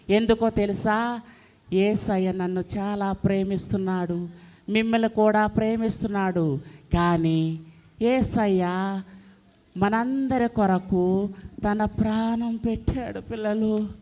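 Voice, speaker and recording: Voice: mid-range voice, warm tone, close to an everyday voice. A performer voicing a friendly, earnest boy puppet, speaking to children in a church show and repeating phrases. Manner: slow, repetitive, addressing children directly.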